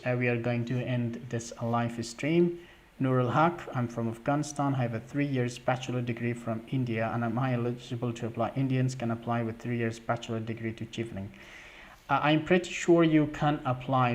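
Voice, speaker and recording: A young man hosting a live question-and-answer stream, reading viewer questions aloud and answering in a halting way with many fillers. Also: mid-range voice. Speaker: livestream host answering scholarship questions.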